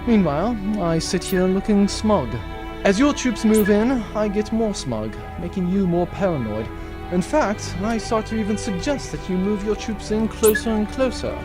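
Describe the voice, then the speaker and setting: A man's voice: sits high, animated, moderate pace, over music. A man playing a smug, brazen space captain hero who brags theatrically in a comedic audio adventure. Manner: cocky, theatrical bragging.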